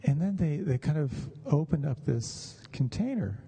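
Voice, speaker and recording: A middle-aged or older man giving a conversational meditation talk with personal stories, speaking in a measured, halting way with pauses. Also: a little high, big pitch swings, dark tone, slightly rough.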